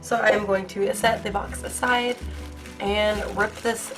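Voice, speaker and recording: A young woman hosting an art-supply unboxing video, hoarse from a cold and gushing with excitement in a casual, rambling way. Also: mid-range voice, a little slow, over music, low-quality recording. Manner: bubbly, gushing, filler-heavy. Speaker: art channel host.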